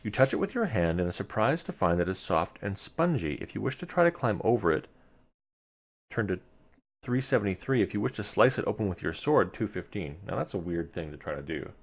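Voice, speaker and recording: A man's voice: lowish voice, very animated, brisk. A man live-streaming a read-aloud of an adventure gamebook, mixing storytelling narration with casual asides to his viewers.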